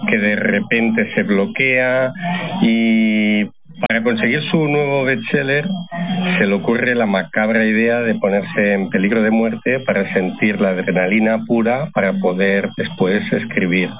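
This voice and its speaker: A retired man in his sixties whose first language is Catalan, a novelist guest telling his stories in a measured, thoughtful way. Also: lowish voice, steady tone, noisy background. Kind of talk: radio interview. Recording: phone-like radio broadcast with crowd behind. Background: crowd chatter.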